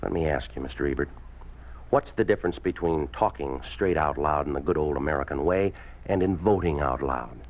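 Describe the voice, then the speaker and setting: A deep-voiced communist official, smooth and domineering, curt when giving orders and slick when persuading. It is 1950s radio drama acting, heard through a dull, muffled old recording. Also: male voice, brisk. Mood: smoothly pressuring.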